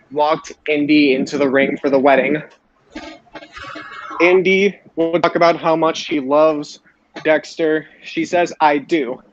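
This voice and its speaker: A man's voice: fairly high pitch, slight background noise. A man hosting a podcast in a casual recap style, recorded on a dull lo-fi microphone. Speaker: podcast host. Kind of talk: casual wrestling show recap.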